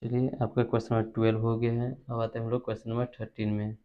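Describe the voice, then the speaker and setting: A man's voice: medium pitch, monotone, rapid-fire. A man with an Indian accent teaching maths step by step, instructional and repeating terms as he works. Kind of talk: step-by-step spoken lesson. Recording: dull lo-fi recording.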